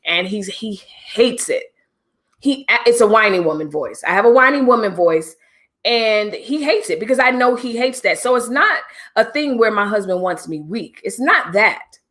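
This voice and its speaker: A woman's voice: mid-range voice, animated, normal speed, fairly bright tone. Chatty middle-aged woman livestream host. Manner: chatty, direct, preachy asides. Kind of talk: casual livestream talk to viewers.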